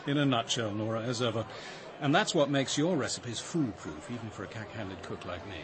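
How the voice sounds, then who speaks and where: An actor playing a reserved, dryly witty detective-poet, with an English accent, in a measured, understated radio drama style. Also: male voice, fairly low pitch, a crowd in the background, natural voice. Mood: wry. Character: reserved, dryly witty male detective who writes poetry. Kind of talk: British radio drama acting and reflective narration.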